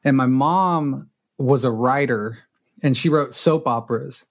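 A man's voice: mid-range voice, a little slow. A middle-aged man from Los Angeles whose first language was Spanish, a guest telling his own story in a candid, self-deprecating way with frequent restarts.

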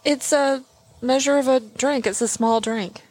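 A woman's voice: high voice, fairly even intonation, unhurried, darkish tone. A woman co-hosting a casual podcast, answering with dry, teasing banter. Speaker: podcast co-host. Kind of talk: casual chat.

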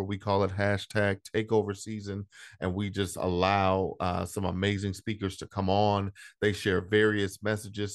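A man's voice: lowish voice, no echo, studio quality. A man hosting his own podcast, introducing the season and his guest in an upbeat, casual way. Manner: upbeat, casual, repeats words. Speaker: podcast host. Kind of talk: show introduction.

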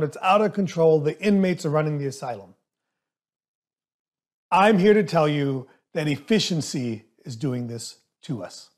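A man who speaks English as a second language, hosting a solo podcast as a dentist and coaching his listeners in an emphatic, animated way. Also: a little high. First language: not English.

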